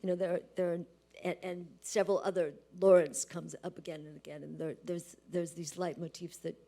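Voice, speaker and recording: A woman's voice: lowish voice, little pitch movement, fairly quick, warm tone. A woman interviewing on stage at a literary event, searching hesitantly for her words. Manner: hesitant, searching, repeats word starts. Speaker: interviewer, author and professor. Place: live stage event before an audience.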